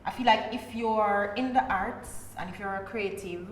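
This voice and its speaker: A woman's voice: mid-range voice, normal speed. A woman with a Jamaican accent, a pantomime actor, talking casually in a podcast discussion. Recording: echoey, reverberant room, faint noise.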